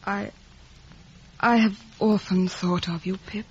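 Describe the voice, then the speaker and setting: An actress playing a once-proud lady, now humbled, speaking in a halting, breathy, pleading way in a 1950s radio melodrama. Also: mid-range voice, fairly even intonation, exaggerated voice. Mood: sorrowful. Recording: muffled vintage 1950s radio recording.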